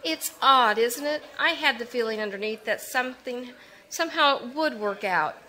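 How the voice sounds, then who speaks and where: A cheerful, trusting young wife in a 1940s radio mystery, voiced by a woman. She talks in a high, bright voice. She is warm and reassuring, and she keeps things brisk. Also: lively intonation, a little hiss or hum, rough recording.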